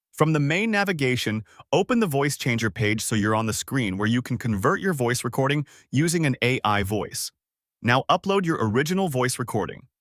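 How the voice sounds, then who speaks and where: A man narrates a scripted step-by-step software tutorial in an orderly, explanatory, instructional way, as a close voice-over. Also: mid-range voice, dry recording.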